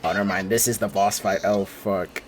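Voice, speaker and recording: A man's voice: fairly low pitch, steady tone, a little fast. A young man giving casual, slangy, reactive commentary on a game he is playing, with some swearing. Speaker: gaming streamer. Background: game music.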